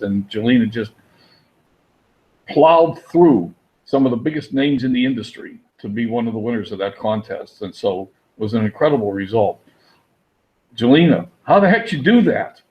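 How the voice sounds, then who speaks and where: A man hosting a webinar, eager and chatty, repeating words and restarting sentences. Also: medium pitch. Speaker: host. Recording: muffled lo-fi audio.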